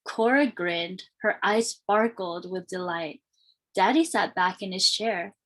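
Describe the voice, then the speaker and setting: Slow, expressive woman reading a storybook. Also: medium pitch. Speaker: children's read-aloud reader. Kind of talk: storybook narration for children.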